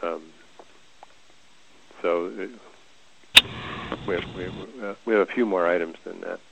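A soft-spoken man with a low, gravelly voice speaks haltingly, restarting his sentences often as he explains. His audio comes through dull and lo-fi, like a remote call. He talks as a guest in a relaxed conversation. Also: heavy background noise. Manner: hesitant, halting with many restarts.

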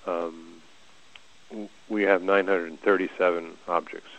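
A man with a low, soft-spoken voice, talking as a guest on a call, hesitant and halting with frequent restarts. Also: level tone, low-quality recording. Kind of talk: conversational call discussion. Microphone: remote call audio.

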